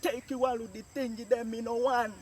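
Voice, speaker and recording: A man's voice: very high voice, flat delivery, normal speed. A man with a Jamaican accent giving a spoken language lesson, saying each phrase and then translating it, often repeating. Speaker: language teacher. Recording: distant mic with faint outdoor noise.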